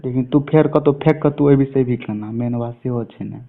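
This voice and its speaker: A man's voice: medium pitch, fairly quick. A man with a Bihari accent from Mithila in an informal phone conversation, swearing often in a coarse manner. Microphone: telephone. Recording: tinny narrowband phone call recording.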